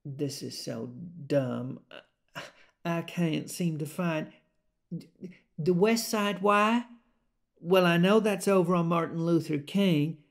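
An actor playing a blunt local in an audio drama, halting and conversational, as if giving directions to a traveller. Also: male voice, a little high, creaky. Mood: hesitant, doubtful. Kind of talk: naturalistic audio drama monologue.

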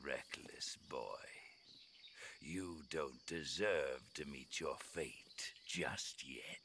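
A man playing an ancient, solemn sniper in a video game, speaking in a breathy, frail, portentous old voice. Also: extremely low pitch, extremely slow, a little hiss or hum, exaggerated voice. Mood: hushed reproach. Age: older. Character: ancient, solemn, slow old sniper awaiting his last hunt. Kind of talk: video game cutscene acting. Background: loud music.

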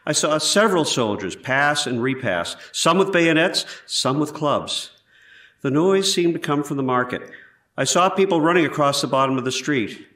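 A man playing an 18th-century surgeon who gives measured, precise testimony in a scripted trial re-enactment. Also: a little high, expressive, pushed and bright. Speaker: actor in a historical trial re-enactment. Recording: roomy echo, distant from mic.

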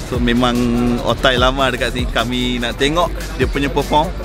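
Malaysian man, mid-pitched, loud music behind. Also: fairly even intonation, normal speed. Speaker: football supporter being interviewed. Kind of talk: casual chat. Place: outdoors by a stadium car park. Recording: loud music over outdoor audio.

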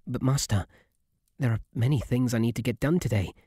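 A man with a British accent voicing a shy, devoted, submissive bunny butler in an intimate ASMR roleplay, soft, breathy, halting and deferential. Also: mid-range voice, dry recording, clean studio sound, lightly acted. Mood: tender, polite.

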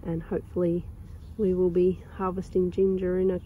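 A young woman with a croaky sore throat, chatting casually and rambling with lots of ums while filming her garden outdoors on a phone. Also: fairly low pitch, completely flat delivery, moderate pace, phone-quality sound, a little hiss or hum. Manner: rambling, chatty, with many ums and soft laughs. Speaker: gardening vlogger. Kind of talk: casual chat.

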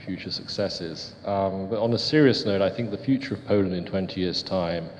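A middle-aged man offers unscripted remarks on a panel in a deep voice. He is heard through a handheld microphone in a reverberant hall with faint noise. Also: normal speed. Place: panel table in brick-walled indoor hall.

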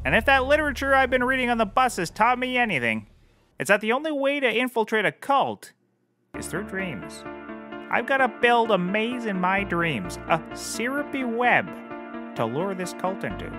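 Man playing a high-pitched, hammy bumbling private eye. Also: big pitch swings, moderate pace, music in the background. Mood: grandiose. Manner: hammy, rambling, theatrical delivery. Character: bumbling, cocky, cheap male private detective. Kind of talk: scripted audio comedy, noir detective parody.

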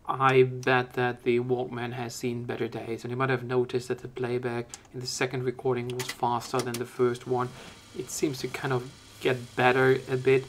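A man's voice: medium pitch, completely flat delivery, normal speed, slight background noise. A man narrating a tech review in a casual way.

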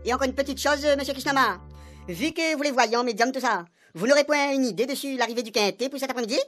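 A man's voice: very high-pitched, fast talker, fairly bright tone, soft background music. A man playing a cheeky, joking police sergeant sidekick with a Réunionese accent in a radio comedy serial. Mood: cheeky, hopeful. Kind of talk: French and Creole radio comedy acting.

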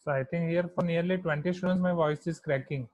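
A man with an Indian accent teaching a maths class online, dictating each term slowly as he writes on a whiteboard. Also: a little high, fairly even intonation, darkish tone, dry recording. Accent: Indian English. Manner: slow, deliberate dictation of terms while writing on a whiteboard.

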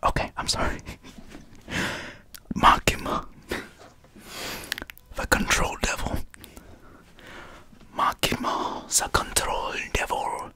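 Breathy whispering man, close on the mic. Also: slow, long pauses, bright, forceful tone. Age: 20s. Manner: repeats trigger words over and over, playful. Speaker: ASMR video creator. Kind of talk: ASMR whispering. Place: indoors in front of a green screen. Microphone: large desk microphone at close range.

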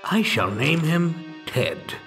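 A man's voice: a little high, very animated, slow pace, gravelly, over music, exaggerated voice. A man playing a quirky older dwarf adventurer in a comic dub, talking in an offhand, bemused way.

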